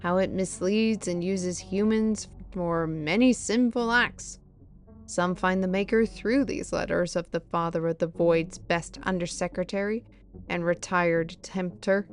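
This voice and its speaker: Lady acting fantasy lore expert gal, earnest n wordy, mid pitch, music under her. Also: darkish tone, close to an everyday voice. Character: knowledgeable fantasy companion woman explaining banned books and magic. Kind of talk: fantasy audio roleplay acting.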